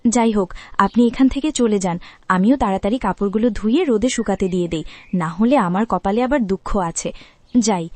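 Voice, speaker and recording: Humble young cartoon heroine, played by a woman, gentle and patient, dryly recorded. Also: mid-range voice, fast talker, no echo, close to an everyday voice. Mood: concerned. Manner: meek, patient, soothing. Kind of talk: Bengali cartoon dialogue.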